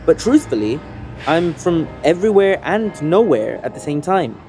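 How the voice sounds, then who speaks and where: Earnest man narrating a measured essay. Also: a little high, big pitch swings, darkish tone, soft background music. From Sudan. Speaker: organizer and activist. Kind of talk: scripted first-person essay narration.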